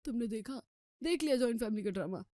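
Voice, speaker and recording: A woman's voice: fairly high pitch, lively intonation, quick pace, dark tone, no echo, studio quality, natural voice. Woman voicing a sulky, scheming young husband. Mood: sulky, indignant. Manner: complaining, exasperated.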